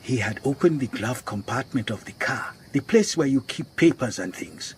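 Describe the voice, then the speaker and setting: An actor playing a worried, earnest garage owner in a radio drama, with a Southern African accent, speaking gravely and deliberately. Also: male voice, mid-range voice, animated, darkish tone, a little hiss or hum, lightly acted. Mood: grave, shaken. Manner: grave, deliberate, repeats phrases for emphasis.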